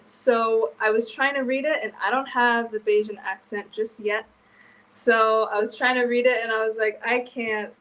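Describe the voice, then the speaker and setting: A woman's voice: on the high side, fairly bright tone, low-quality recording. Young canadian woman, casual chatty video call, um's and laughs, a bit of hiss in the background. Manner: chatty, laughs easily, hesitant fillers. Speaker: guest, exchange student. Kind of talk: casual live video chat.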